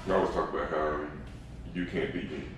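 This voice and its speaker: A man's voice: rumbling low, little pitch movement, fairly quick. A middle-aged man joking and teasing his daughter in a home kitchen, boasting playfully. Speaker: vlogger's dad. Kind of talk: casual banter. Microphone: camera across the room. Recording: echoey room, far from camera, background noise.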